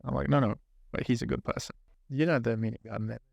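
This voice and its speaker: A man in his thirties with a New Zealand accent speaks at a middle pitch with a gravelly edge, earnest and reflective as he asks probing questions. The recording is close and dry, right at the mic. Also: fairly even intonation, fast talker, darkish tone, no echo. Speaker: podcast host. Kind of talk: casual interview chat.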